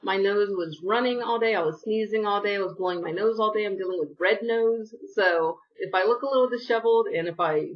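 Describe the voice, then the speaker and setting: A woman's voice: medium pitch, fairly quick, hardly any pauses. Woman, casual haul vlog, rambling, sniffly, slightly distant mic, muffled recording. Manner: chatty, rambling, apologetic asides. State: sniffly from allergies. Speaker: beauty vlogger.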